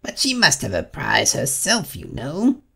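A man voicing a touchy, easily offended storybook mouse, with a creaky voice and a severe, drawn-out delivery. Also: medium pitch, wildly swooping pitch, pushed and bright, voice pushed up, full character voice. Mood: insistent.

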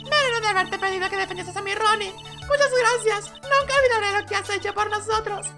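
A flirty confident game wife, super high voice, music behind. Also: male voice, brisk. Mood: adoring.

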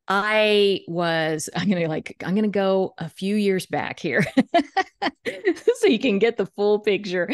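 Woman in her thirties, podcast guest, chatty and laugh-prone, slightly low voice, dry close-mic sound. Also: clear, resonant tone, no room sound. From United States. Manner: chatty, laughs often, many restarts. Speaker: podcast guest, chicken educator. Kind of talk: casual interview storytelling. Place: home room with bookshelves. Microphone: studio mic on video call.

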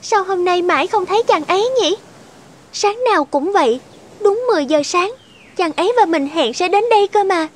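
A woman voicing a sweet, innocent young maiden in a cartoon fairy tale, polite and gentle in manner. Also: extremely high pitch, lively intonation, normal speed, a little hiss or hum. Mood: worried. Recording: loud music under the voice.

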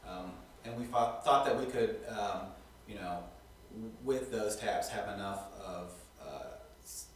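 Low-voiced guy kinda far from the mic, echoey room, lots of ums and restarts. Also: a little hiss or hum. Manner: halting, many fillers and restarts. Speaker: restaurant co-owner. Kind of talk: casual interview answers. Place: small studio on a couch.

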